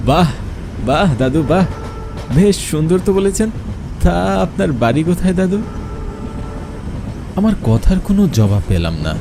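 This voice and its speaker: A man's voice: a little high, unhurried, creaky, pauses often. A man giving a theatrical first-person narration of a horror story. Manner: expressive, theatrical. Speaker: narrator and lead character. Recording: loud train noise behind.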